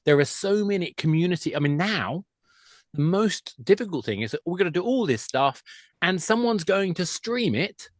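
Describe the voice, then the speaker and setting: A man's voice: high-pitched, animated, moderate pace, dry recording, clean studio sound. A man speaking as an industry expert guest on a podcast, talkative and emphatic, explaining casually point by point.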